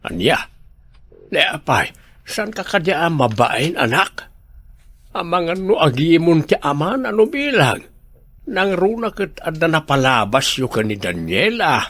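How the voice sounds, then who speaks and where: Nosy confidant, male actor, Ilocano accent, radio drama; lively pitch movement, doubting and questioning. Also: unhurried, heavily acted.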